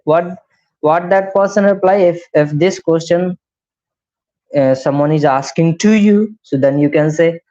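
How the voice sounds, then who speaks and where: A man's voice: on the high side, measured pace, mellow. A young man with an Indian English accent, teaching a beginner English lesson on a live stream and explaining each step, often asking "right?". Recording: slightly dull lo-fi live stream audio.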